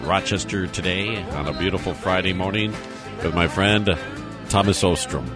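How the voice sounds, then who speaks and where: Hoarse, very deep American radio host. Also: male voice, moderate pace, over loud music. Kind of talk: casual news talk radio.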